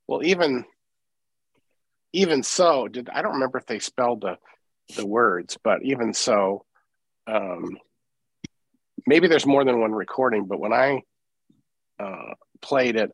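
A man giving his opinions in a casual group discussion, halting and thoughtful, often correcting himself as he goes. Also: on the low side, lively intonation, husky, no echo, low-quality recording. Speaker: book group participant.

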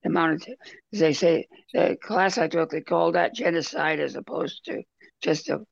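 A man talking casually in a book discussion on a video call, halting and rambling as he goes. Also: fairly high pitch, gravelly, no room sound. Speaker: book club member. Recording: dull lo-fi video call audio.

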